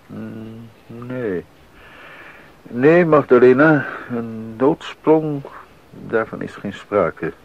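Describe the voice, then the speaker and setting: A man acting a sober, devout composer and husband in a Dutch radio drama, speaking in a measured, reflective way. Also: mid-range voice, expressive, creaky, full character voice. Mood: earnest, resolute. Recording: dull, noisy 1980s radio recording.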